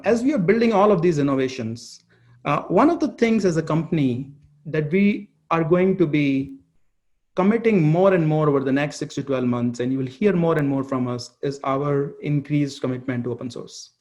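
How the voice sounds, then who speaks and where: A man presenting a product keynote as a company chief executive, speaking haltingly and often repeating words and phrases. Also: a little high, animated, darkish tone.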